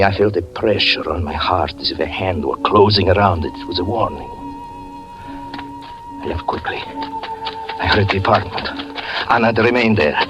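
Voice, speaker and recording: A haunted, desperate storyteller. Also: male voice, fairly low pitch, rough recording. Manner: intense, breathless dramatic narration. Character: haunted man recounting his own murder, desperate. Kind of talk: 1940s radio acting.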